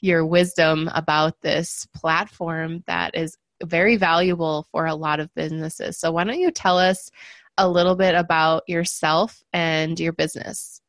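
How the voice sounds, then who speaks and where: A woman's voice: sits low, steady tone, unhurried, no room sound. A woman hosting a podcast, talking eagerly and casually with her guest and using frequent fillers. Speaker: podcast host.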